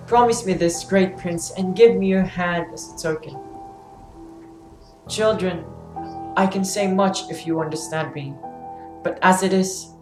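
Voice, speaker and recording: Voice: mid-range voice, close to an everyday voice. An actor playing a blinded, grieving fallen king who pleads solemnly in a classical tragedy reading. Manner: mournful, pleading, solemn declamation. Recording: roomy echo, faint music behind.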